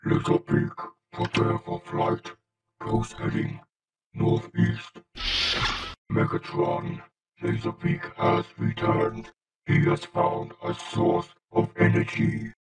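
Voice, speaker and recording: A man's voice: high voice, poor audio. A man playing a cold, menacing cartoon robot lieutenant with a vocoder effect and clipped commands. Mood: cold, commanding. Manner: slow, flat, clipped commanding delivery. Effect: robotic vocoder filter. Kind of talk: hobby voice impression of a cartoon villain robot.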